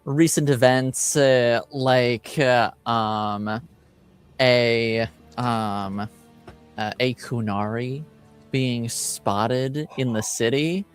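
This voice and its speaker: Halting, slow-paced male game master. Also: mid-range voice, fairly even intonation, clean tone, soft background music. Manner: halting, searching for words. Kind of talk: improvised tabletop game narration.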